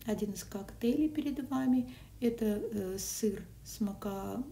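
A woman narrates a casual food and travel vlog, her mellow voice now and then dropping to a whisper. She is caught on a phone a little way off, with a roomy echo and faint background noise. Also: medium pitch, a little slow, vocal fry. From Russian-speaking country. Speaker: vlogger. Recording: echoey, from a distance, faint noise.

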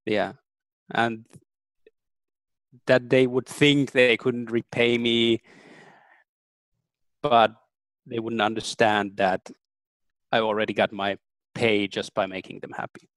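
Slow-speaking German man, earnest. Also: lowish voice, level tone, a bit bright, no echo. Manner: earnest, thoughtful, pauses with ums. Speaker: interview guest. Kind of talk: reflective interview answers.

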